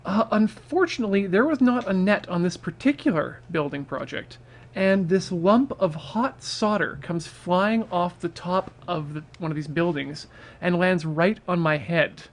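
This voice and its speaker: A man's voice: high-pitched, expressive, a little slow. A man telling casual, humorous stories to an audience on a livestream. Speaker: storyteller on a charity livestream.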